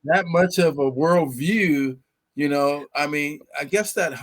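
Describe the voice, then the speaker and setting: Enthusiastic host in a dry, close sound. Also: male voice, fairly high pitch, slow pace, dry recording. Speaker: podcast host. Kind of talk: casual interview talk.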